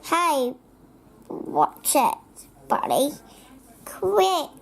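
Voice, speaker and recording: A child reading a children's picture book aloud, halting word by word and sometimes repeating phrases. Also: sits high, vocal fry, fairly bright tone. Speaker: young storybook reader.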